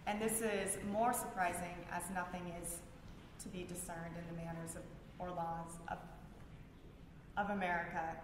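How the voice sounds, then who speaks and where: A woman's voice: lowish voice, little pitch movement, moderate pace. A woman reading prepared texts aloud at a public event, sometimes stumbling over words and restarting. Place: large reverberant room at a library event. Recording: very echoey hall with background noise.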